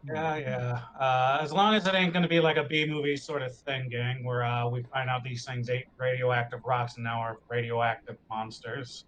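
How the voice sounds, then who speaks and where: A man's voice: mid-range voice, steady tone, normal speed, few pauses, fairly bright tone, slight background noise, poor audio. A man chatting casually as a tabletop game player, with a wry, wisecracking way of talking. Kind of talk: casual joking chat.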